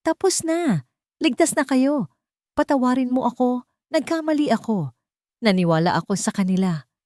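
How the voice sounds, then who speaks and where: A woman's voice: a little high, lively intonation, normal speed, a little mellow, no echo, studio quality, pitched above the performer's own voice, natural voice. A woman voicing a remorseful, wealthy man who pleads emotionally in a dramatized love story. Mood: anguished remorse. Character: remorseful rich adult man, pleading for forgiveness.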